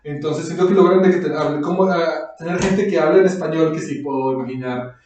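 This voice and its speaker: A man's voice: on the high side, a little fast, darkish tone, distant mic, dull audio, slight background noise. A man with a Mexican accent rambles in slangy, casual podcast chat, in a very echoey room away from the mic. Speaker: podcast host.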